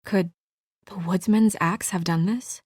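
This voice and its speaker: A woman's voice: mid-range voice, fairly even intonation, measured pace, darkish tone, breathy edge, dry recording, studio quality. A woman voicing an anxious, businesslike young female official in a video game, speaking in an earnest, guarded way with naturalistic delivery. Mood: worried, subdued.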